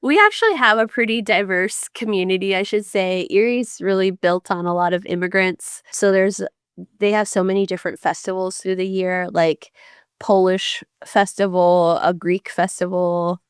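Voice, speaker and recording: A woman's voice: medium pitch, moderate pace, clear, resonant tone, no room sound. Thai-born woman counselor on a podcast, lots of little laughs and ums, dry close sound. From Thailand. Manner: chatty, laughs often, lots of fillers. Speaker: podcast guest, mental health counselor and professor. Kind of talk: casual podcast interview.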